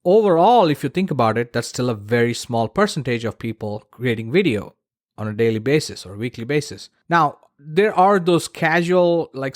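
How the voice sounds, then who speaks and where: A man's voice: medium pitch, dry recording, studio quality. A man hosting a solo podcast, explaining things conversationally with casual, emphatic asides to the listener. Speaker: podcast host.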